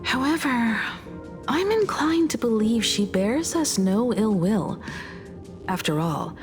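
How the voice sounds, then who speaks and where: A woman playing a poised, gentle, scholarly young woman in a video game, speaking in a measured, articulate, reflective way. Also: mid-range voice, natural voice. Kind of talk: video game story dialogue.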